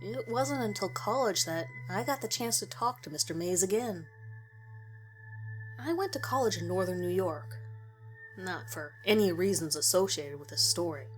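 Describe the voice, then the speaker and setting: A woman's voice: fairly low pitch, animated, normal speed, soft background music, rough recording. A woman reading a scripted first-person story aloud as its narrator.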